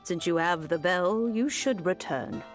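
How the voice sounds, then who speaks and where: A woman voicing a wise, kindly old granny in a video game, unhurried and gently chiding. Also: on the low side, faint music behind, natural voice. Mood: warm, inviting. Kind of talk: video game dialogue acting.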